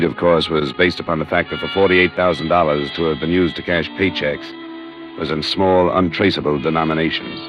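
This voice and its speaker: An actor playing a hard-boiled American investigator, matter-of-fact and methodical, in a radio noir drama. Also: male voice, sits low, close to an everyday voice. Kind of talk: scripted first-person radio noir narration and dialogue. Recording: dull vintage radio recording, music.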